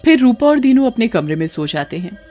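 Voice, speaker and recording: A woman's voice: on the high side, big pitch swings, a little fast, dark tone, muffled recording. A woman narrator reading a scripted story in a dramatic storytelling style. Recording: music behind the voice.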